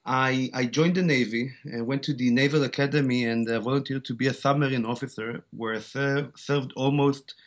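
A man's voice: mid-range voice, fairly even intonation, normal speed, hardly any pauses. A man in his forties from Israel with an Israeli accent, a startup founder speaking as an interview guest, hesitant with many fillers and restarts. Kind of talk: interview conversation.

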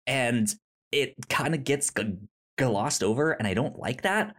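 A podcast co-host in casual film discussion, speaking haltingly and often stammering on repeated syllables. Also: mid-range voice, lively intonation, a bit bright.

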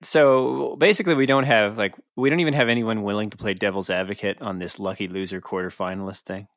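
A man's voice: fairly low pitch, steady tone, fast, no room sound, clean studio sound. A man co-hosting a podcast in casual sports discussion, talking in a conversational, argumentative way. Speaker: podcast co-host.

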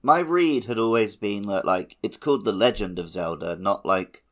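A man's voice: on the low side, lively intonation, normal speed. A man co-hosting a podcast with casual banter and discussion. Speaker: podcast co-host.

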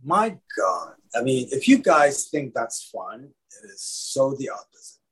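Husky, animated man from Lebanon. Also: medium pitch, unhurried. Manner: animated, repeats words, swears casually. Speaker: actor guest. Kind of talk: casual interview chat.

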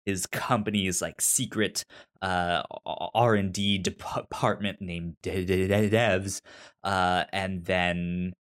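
Male podcast co-host, deep-leaning voice, noticeable stutter on repeated syllables, informal and unhurried. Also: steady tone, fairly bright tone. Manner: halting, informal delivery. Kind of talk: casual chat recommending and discussing TV shows.

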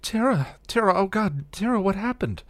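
An anxious young witch, a man putting on the woman's part in a dramatic story reading. Her lines are lifted in pitch and very animated, with stammers and emotional exclamations. The recording is dry and close. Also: moderate pace, no room sound, close to an everyday voice. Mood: panicked.

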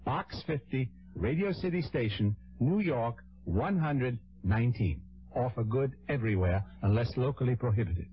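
A man's voice: mid-range voice, expressive, moderate pace, slightly rough. A man reading a scripted promotional announcement as a radio announcer. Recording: dull vintage radio broadcast.

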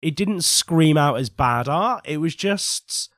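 Slightly husky man with a cold. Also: fairly high pitch, expressive, slow, fairly bright tone, dry recording, clean studio sound. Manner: opinionated, teasing. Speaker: podcast co-host. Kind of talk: casual chat.

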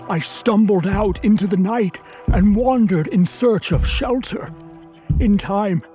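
A man plays a wounded, articulate man-made creature telling its own tale in a radio drama. The voice is high and soft-edged, and the delivery is slow, formal and full of longing. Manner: formal, literary, measured storytelling with drawn-out words. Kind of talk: dramatic radio play narration.

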